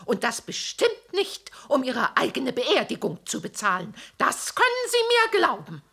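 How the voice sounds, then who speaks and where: Old widow, plaintive, high voice, gravelly, radio drama. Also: female voice, huge pitch swings, unhurried, sharp tone, exaggerated voice. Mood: confiding. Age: older. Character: elderly widowed woman tenant, lonely and fearful. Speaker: witness.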